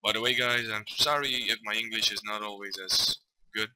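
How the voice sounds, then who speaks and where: A man from Belgium, hesitantly talking through a software tutorial with lots of fillers and self-corrections. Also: on the low side, fairly even intonation, normal speed, a little hiss or hum, poor audio. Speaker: tutorial maker. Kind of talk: casual screen-recorded software tutorial. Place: at a computer desk.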